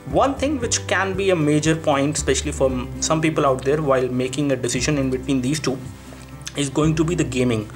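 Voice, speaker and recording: Higher-pitched man reviewing gadgets over music. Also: brisk. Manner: repetitive, explanatory, thinking aloud while demonstrating. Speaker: tech reviewer and presenter. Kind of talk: unscripted product comparison review.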